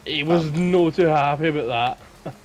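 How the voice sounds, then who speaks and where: A man's voice: fairly high pitch, normal speed, slight background noise. A man with a Scottish accent telling casual anecdotes as a guest on a podcast.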